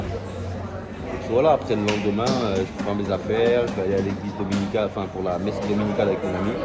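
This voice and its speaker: A man from France chatting casually in a travel vlog, hesitant and full of fillers and restarts. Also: lowish voice. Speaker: vlogger. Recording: loud crowd noise behind.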